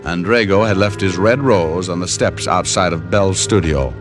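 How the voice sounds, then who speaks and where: An actor playing a wry, cool-headed private detective in hardboiled old radio drama style. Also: male voice, deep voice, moderate pace, natural voice. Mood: grim, tense. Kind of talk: 1940s-50s radio acting, first-person narration. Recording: old-time radio recording with music.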